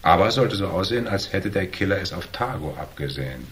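A hardboiled investigator with a low, deep voice in a German radio crime drama. He is cool and shrewd, setting out each point with dry, measured, needling precision. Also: lively intonation, fairly bright tone. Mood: needling, explanatory. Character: cool, shrewd hardboiled male investigator, calmly laying out deductions.

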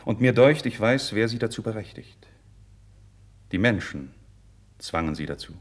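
Low-voiced, fervent idealist nobleman. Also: animated, moderate pace. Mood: grave, reproachful. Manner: declamatory, earnest, formal stage diction. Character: idealistic young nobleman, passionate, proud, candid before his king. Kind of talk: 1950s German radio drama, classical verse acting.

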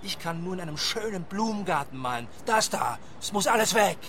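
A man's voice: high voice, animated, a little fast, heavy background noise. A man playing a self-absorbed, indifferent artist in a radio play, lofty and emphatic.

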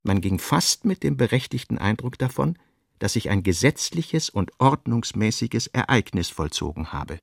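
A male narrator reads literary prose for a radio drama. His mid-pitched voice has a clear gravelly creak, captured in a dry studio sound. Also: very animated, normal speed, no echo.

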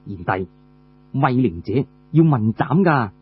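Man as firm young general, slightly husky. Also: mid-range voice, expressive, a little slow, narrow, tinny audio, pitched below the performer's own voice. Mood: firm, cautioning. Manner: formal, firm, old-fashioned heroic speech. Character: proud, dutiful young general, firm elder brother.

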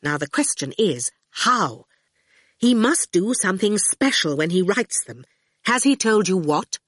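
A woman's voice: medium pitch, sing-song, measured pace, no echo, clean studio sound. A woman voicing a haughty, glamorous, ageless woman ruler in an audiobook, cool and sweetly condescending. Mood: angry, peeved. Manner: pouting, cold then gracious. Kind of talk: audiobook character voice.

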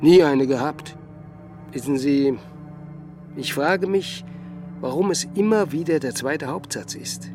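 An actor playing a renowned, aloof scientist in a radio drama, speaking formally and hesitantly, with condescension. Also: male voice, fairly high pitch, slow, pauses often. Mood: coolly philosophical. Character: aloof, renowned male scientist, coolly dismissive. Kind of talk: German radio drama dialogue. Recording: music playing under the voice. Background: music.

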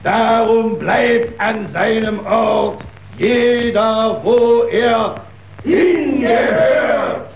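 Pompous, chanting chorus figure, very high and creaky, distant echoing 1930s radio recording. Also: male voice, very slow, heavily acted. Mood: solemn, pompous. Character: pompous chorus man, guardian of the social order. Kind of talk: 1930s radio choral declamation. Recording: muffled, echoey, noisy, distant 1932 radio recording.

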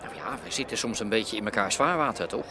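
Actor as low-voiced, guarded underworld rival. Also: male voice, fast talker, background noise, lightly acted. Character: guarded, probing male underworld rival, boxing-school owner. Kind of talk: naturalistic radio drama acting.

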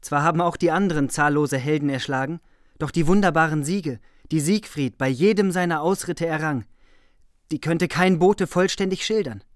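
A man plays a courtly messenger in a medieval radio drama. His fairly high voice is formal, proud and declamatory, recorded dry and close. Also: moderate pace, no echo, close to an everyday voice. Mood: proud, admiring. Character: loyal messenger knight, proudly reporting battle to a princess. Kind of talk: German radio play acting.

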